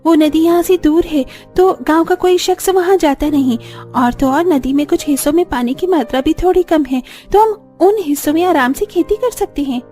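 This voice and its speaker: A woman acts out a hardworking young village daughter-in-law from a cartoon. The voice is strikingly high and earnest, with music playing underneath. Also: moderate pace, few pauses, dark tone, lightly acted. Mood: earnest, hopeful. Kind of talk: cartoon story dialogue.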